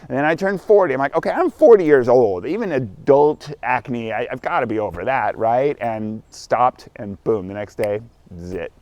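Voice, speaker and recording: A man's voice: medium pitch, animated, moderate pace, a little mellow, dull audio. A man in his forties, vlogging outdoors in morning sunshine, talking candidly in a rambling, self-correcting way. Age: 40s. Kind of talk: casual self-recorded vlog.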